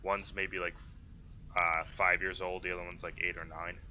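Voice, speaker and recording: A low-pitched man narrates a tabletop game as game master. His voice is very bright and forward, and he talks in a halting, conversational way through a boxy, lo-fi room mic with some hiss. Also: flat delivery.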